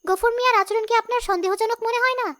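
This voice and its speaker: A woman voicing a brave, sharp-witted young village girl in a cartoon story, assertive and reasoning. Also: very high-pitched, fairly even intonation, fast. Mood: confident, urgent.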